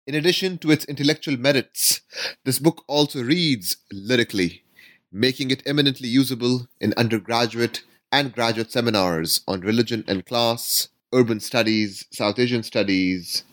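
A man's voice: mid-range voice, normal speed, fairly bright tone, dry recording. A man hosting an academic book podcast, reading a formal introduction and then asking questions with many uhs. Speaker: podcast host, interviewer.

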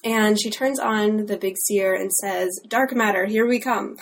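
A woman's voice: mid-range voice, measured pace, slight background noise. A woman interviewing an author, asking careful, thoughtful questions about his book.

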